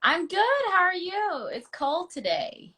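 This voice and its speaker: Bright, lively Texan woman. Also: very high voice, unhurried. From Houston, Texas. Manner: giggly, upbeat, chatty. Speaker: guest actor. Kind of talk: casual interview chat.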